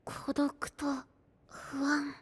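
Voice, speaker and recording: A woman's voice: sits high, monotone, extremely slow, in a large hall. A woman voicing a small, sweet, polite and diligent young character in an anime audio drama, speaking earnestly. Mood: uneasy, questioning.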